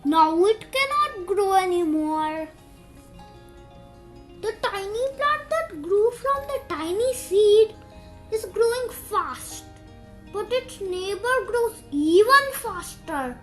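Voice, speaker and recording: Storybook read-aloud: very high young-sounding voice, unhurried, dramatic delivery. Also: lively intonation, fairly bright tone, faint music behind. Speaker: children's story reader.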